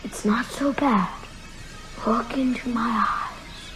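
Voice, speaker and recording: A woman's voice: on the high side, slow, rough recording. A woman playing an undead vampire boy in a radio horror drama, coaxing and commanding with a hypnotic insistence. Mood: eerie coaxing. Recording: music under the voice.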